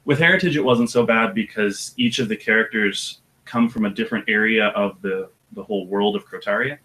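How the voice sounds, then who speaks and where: An audiobook narrator with a deep voice, talking about his work in a relaxed, thoughtful way with some hesitation. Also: a little fast, low-quality recording. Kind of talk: casual interview chat.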